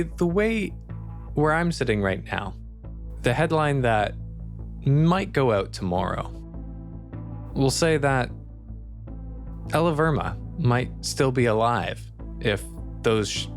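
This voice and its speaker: A man's voice: mid-range voice, lively intonation, takes it slowly, long pauses, faint music behind, pitched above the performer's own voice. A man voicing a skeptical female beat reporter in an improvised tabletop game, questioning pointedly with some hesitation. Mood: confrontational.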